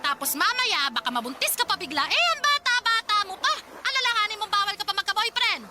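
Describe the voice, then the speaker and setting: A woman's voice: very high-pitched, lively intonation, quick pace. An actress playing a strict, worried mother scolding her teenage daughter in a Filipino radio drama, with a Filipino accent. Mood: worried, stern. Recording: loud ambient noise behind the voice.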